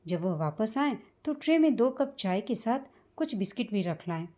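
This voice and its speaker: A woman reading a scripted, sentimental family story as a storyteller, in a measured manner. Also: mid-range voice, very animated, warm tone. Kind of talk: scripted emotional story narration in Hindi.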